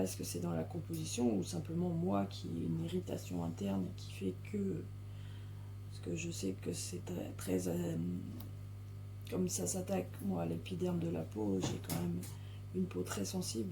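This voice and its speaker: A French woman with a strained, gravelly voice talks casually to her coloring audience, and her pitch swings widely. She rambles and hesitates, stopping and restarting mid-thought. A faint hiss sits under the recording. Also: mellow. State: hoarse, strained voice. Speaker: coloring channel host. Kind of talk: casual chat to viewers while coloring.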